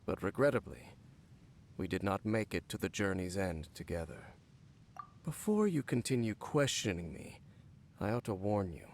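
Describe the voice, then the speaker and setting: An actor playing a brooding, centuries-old cursed former guard captain in a video game, speaking in a formal, measured, solemn way. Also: male voice, low voice, sing-song, a little hiss or hum. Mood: grave, guarded. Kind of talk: video game character dialogue. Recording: game audio with background music. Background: game music.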